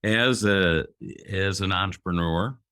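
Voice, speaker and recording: A man in his fifties, a guest entrepreneur on an interview podcast, speaking in a measured way with pauses. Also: deep voice, bright, forceful tone, smooth, no room sound, studio quality. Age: 50s.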